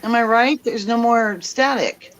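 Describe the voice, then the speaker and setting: A man chatting as a guest on a call. He gushes excitedly about the people in the chat. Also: very high voice, measured pace, dry recording, lo-fi sound. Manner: excitable, gushing. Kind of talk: casual chat.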